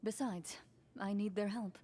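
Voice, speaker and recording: An actress playing a composed, principled huntress in a video game, speaking in a measured, firm manner. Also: female voice, mid-range voice, steady tone, mellow, a little hiss or hum, natural voice. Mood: calm resolve. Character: composed, principled female hunter, calm mentor with blade. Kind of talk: dramatic video game voice acting. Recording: background music under dialogue.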